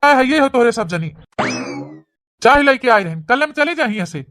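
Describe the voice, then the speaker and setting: A woman's voice: fairly high pitch, lively intonation, normal speed, fairly bright tone, no echo. A woman with an Awadhi accent voicing a bossy, short-tempered young brother-in-law who grumbles and scolds in a comedy cartoon. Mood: irritated, scolding.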